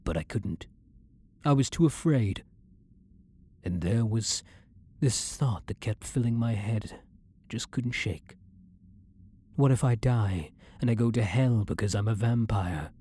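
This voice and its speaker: A man's voice: lowish voice, expressive, slow pace, long pauses, warm tone, breathy edge, full character voice. A man playing a centuries-old vampire hunter who is himself a vampire, blunt and brooding, in a dramatic ASMR roleplay monologue. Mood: haunted.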